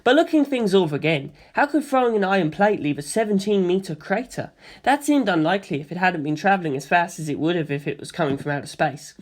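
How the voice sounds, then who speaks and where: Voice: medium pitch, expressive, fast talker. A narrator reading a first-person story expressively, in the manner of an audiobook.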